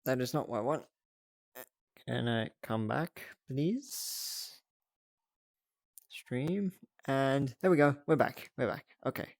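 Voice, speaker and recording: A casual livestream host who talks at an unhurried pace and thinks aloud. The delivery is chatty and prone to giggles, with a mid-pitched voice. It is captured close and dry, with very little room sound. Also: dry recording. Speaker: livestreamer hosting a reaction stream.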